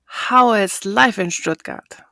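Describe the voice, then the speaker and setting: A woman's voice: mid-range voice, takes it slowly, vocal fry, bright, forceful tone, no echo. A woman hosts an interview podcast in a friendly, chatty way, with a mid-range voice. Her questions come with light jokes. The recording is dry and close. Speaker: podcast host.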